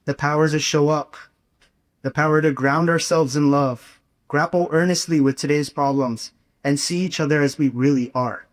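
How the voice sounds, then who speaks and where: Higher-voiced young man giving an earnest speech. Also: fairly even intonation. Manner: earnest, rhetorical, measured phrasing. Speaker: student speaker at a campus gathering. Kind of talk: prepared inspirational speech.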